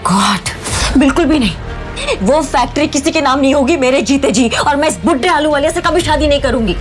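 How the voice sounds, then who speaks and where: A woman's voice: high-pitched, animated, brisk, over music. A woman playing a defiant young mother who tells and acts her own story in an emotional audio drama style. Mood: angry, defiant. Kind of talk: first-person audio drama narration and acting.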